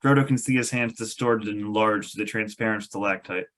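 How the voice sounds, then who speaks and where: Guy reading stage directions out loud, kinda low voice, narrator style. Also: brisk. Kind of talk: scripted narration at a table read.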